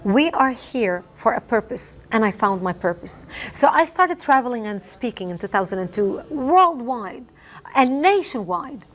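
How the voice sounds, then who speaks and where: Middle-aged woman from Lebanon, Arabic native speaker, passionate interview testimony, slightly higher voice. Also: big pitch swings, unhurried. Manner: emphatic, repeats phrases for effect. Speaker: interview guest. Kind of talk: impassioned testimony.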